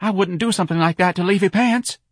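Audiobook role: man playing anxious, meek male office manager, high shrill pipe, flustered. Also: expressive, fairly quick, fairly bright tone, slightly rough, no room sound, clean studio sound, full character voice. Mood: frightened denial. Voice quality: shrill, piping. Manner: quavering, flustered. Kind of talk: audiobook character voice.

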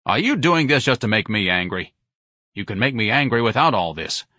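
A man's voice: lowish voice, very animated, a little fast, pushed and bright, no echo, full character voice. A man voicing an exasperated middle-aged husband in an audiobook, with a dry, grumbling manner.

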